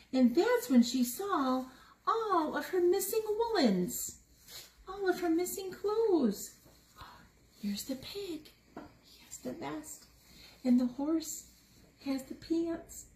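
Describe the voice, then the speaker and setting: A woman reads a picture book to young children in a high, very lively voice. She takes her time, putting questions to listeners. The room is echoey with some noise behind her. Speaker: story time reader. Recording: roomy echo with noticeable background noise.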